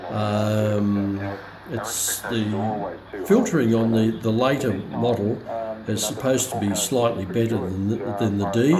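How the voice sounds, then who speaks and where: Hobbyist reviewer, male, fairly low voice, casual and hesitant, unscripted demo with clear chatter in the background. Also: poor audio. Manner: halting, hesitant, searching for words. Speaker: presenter of a hobbyist radio review video. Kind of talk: unscripted product demonstration, casual. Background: radio broadcast voices playing from the demonstrated receiver.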